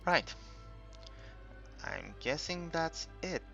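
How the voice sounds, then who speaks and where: A man giving casual, unscripted game commentary in a halting, rambling way, as if thinking aloud while he explains things. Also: on the high side, expressive, gravelly, pushed and bright. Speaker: gaming video creator narrating a tutorial. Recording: dull lo-fi home recording, music behind.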